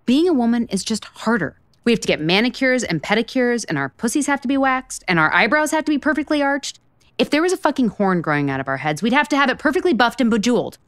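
Exasperated, sharp-tongued woman, high voice. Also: sing-song, fast, no echo, clean studio sound. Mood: indignant ranting. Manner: sarcastic, emphatic, profane. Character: blunt, exasperated, foul-mouthed single woman, sharp-tongued cynic. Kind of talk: romantic comedy audio drama acting.

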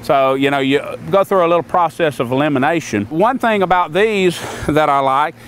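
A man's voice: on the high side, normal speed, slight background noise. An older man gives a plain-spoken, folksy walkthrough of sawmill equipment outdoors, often restarting his phrases. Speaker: sawmill maker explaining equipment. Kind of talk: casual outdoor equipment demonstration. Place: outdoors on a grassy lot beside a sawmill.

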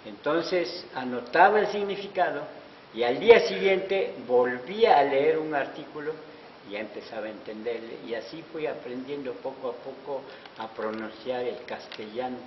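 A man's voice: medium pitch, moderate pace. An older man from Oaxaca whose first language is Zapotec, telling his story modestly in an interview, speaking into a handheld mic in an indoor hallway. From Oaxaca, Mexico. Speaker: interviewee, artisan promoter. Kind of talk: interview answers. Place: wood-paneled auditorium hallway, indoors. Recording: echoey hall, dull lo-fi sound with hiss.

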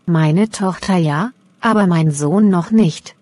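A woman's voice: lowish voice, a little mellow, no echo. A woman playing a careful young exam candidate. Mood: earnest. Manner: slow, careful learner's German. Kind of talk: scripted role-play dialogue.

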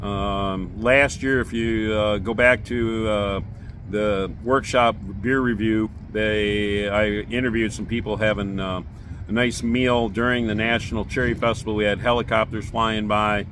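An older man in his sixties giving a relaxed, rambling beer-garden tour, filmed on a handheld phone outdoors. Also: on the low side, a little slow, a little hiss or hum. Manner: relaxed, rambling, many fillers. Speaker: beer review vlogger. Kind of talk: casual vlog walk-through. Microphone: phone camera at arm's length.

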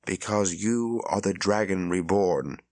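A man's voice: low voice, measured pace, darkish tone. A man voicing a stern, powerful older woman leader who speaks firmly. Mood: commanding. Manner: firm, commanding.

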